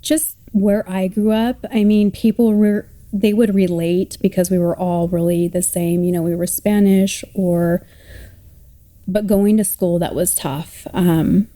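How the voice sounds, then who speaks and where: A woman in her thirties from Colorado telling her family story in a reflective, halting way. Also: mid-range voice, level tone, dark tone. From Colorado, United States. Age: 30s. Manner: reflective, halting, with many fillers and restarts. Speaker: oral history storyteller. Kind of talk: personal storytelling.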